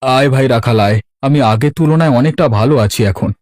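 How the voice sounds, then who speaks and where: A man voicing a gentle, ailing middle-aged village father in a cartoon, with a questioning manner. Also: mid-range voice, fast talker, no room sound, clean studio sound, natural voice. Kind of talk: animated story voice acting.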